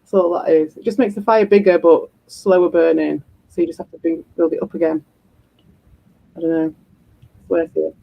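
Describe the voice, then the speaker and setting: A man with a croaky, husky voice, hosting a stream in loose, rambling chat and swearing freely. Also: on the high side, steady tone, moderate pace, dark tone, low-quality recording. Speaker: streamer host. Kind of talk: casual chat.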